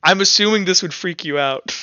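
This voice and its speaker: A man's voice: high-pitched, moderate pace, bright, forceful tone, no room sound, dull audio. A man acting as game master, casually narrating a tabletop game and talking through rules and dice rolls on a headset.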